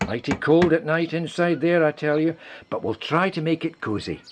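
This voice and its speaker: A man playing a kindly, brisk wartime village doctor in a radio play, with a Scottish accent and a hearty, avuncular manner. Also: on the high side, animated, background noise, lightly acted. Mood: hearty, encouraging.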